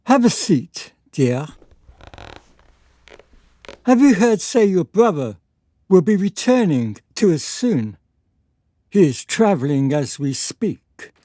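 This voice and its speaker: Woman playing a slow, stern aristocratic father. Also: low voice, mellow, no echo, exaggerated voice. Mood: solemn, ominous. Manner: measured, hesitant, patronizing, formal. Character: stern, evasive aristocratic father, a Venetian lord. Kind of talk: period radio drama acting.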